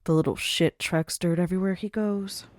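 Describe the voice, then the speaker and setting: Woman playing a poised, low-voiced female mafia boss. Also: fairly even intonation, moderate pace, a little mellow, no echo, clean studio sound, close to an everyday voice. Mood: stern, imperious. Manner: poised, grandly formal, uses endearments like dearie. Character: commanding, cultured female mafia boss with sly warmth. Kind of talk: scripted audio roleplay acting.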